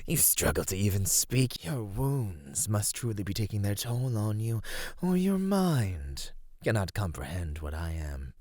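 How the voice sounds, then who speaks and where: Predatory old monster, courtly and teasing, breathy husky voice, swooping intonation, close dry ASMR sound. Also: male voice, on the low side, expressive, mellow, dry recording. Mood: amused, imposing. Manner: slow, formal, archaic, teasing menace. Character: ancient menacing male cave monster, predatory, teasing, courtly. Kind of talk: ASMR roleplay monologue.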